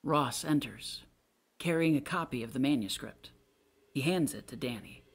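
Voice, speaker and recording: A man's voice: medium pitch, normal speed, vocal fry, husky. A man narrating a play's stage directions as scripted narration.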